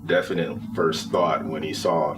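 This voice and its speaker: A man telling anecdotes casually as a guest, animated and acting out his reactions as he goes. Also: very deep voice, a little slow, over loud music. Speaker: guest player on a talk show. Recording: distant mic, faint room noise.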